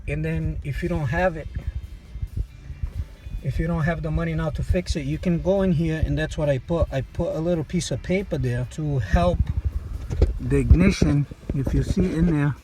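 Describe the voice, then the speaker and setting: A man's voice: fairly high pitch, low-quality recording. A man from Brazil with a Brazilian Portuguese accent, casually explaining a car repair while working and filming on a phone in one hand, with loose, repetitive stop-start talk. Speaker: DIY car repair youtuber. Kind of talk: casual how-to demonstration. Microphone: phone held in one hand.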